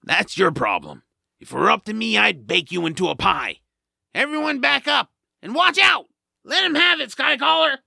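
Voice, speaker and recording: Grumpy sarcastic swamp creature: heavy gravel, bright forceful edge, reluctant hero of a fantasy audio drama. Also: male voice, very high-pitched, sing-song, normal speed, no echo, clean studio sound, full character voice. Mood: threatening. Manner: blunt, sarcastic, grumbling. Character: grumpy nap-loving male swamp creature, gruff reluctant rescuer.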